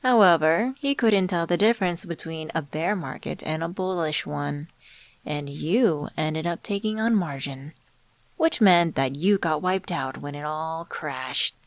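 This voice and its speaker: Enigmatic deal-maker played by a woman, lowish voice, dry close sound. Suave, knowing, condescending, teasing the listener in an ASMR audio drama. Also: no echo. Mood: smug, taunting. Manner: measured, teasing, rhetorical questions, condescending endearments. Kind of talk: scripted ASMR roleplay monologue spoken to the listener.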